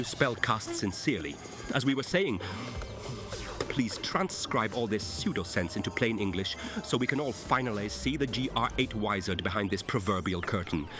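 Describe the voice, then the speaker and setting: A man delivering a theatrical, satirical radio-play monologue in a wordy, mock-grand manner. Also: on the low side.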